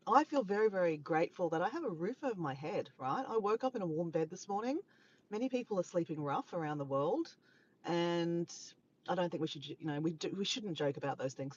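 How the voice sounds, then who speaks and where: A woman's voice: medium pitch, lo-fi sound. An Australian woman live-streaming in casual chat, rambling and laughing as she reads out viewers' comments. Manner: chatty, rambling, laughs often, greets viewers.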